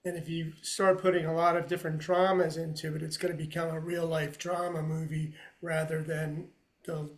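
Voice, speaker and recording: A man's voice: on the high side, level tone, normal speed. A man taking part in a workshop, casually giving his feedback in a group discussion.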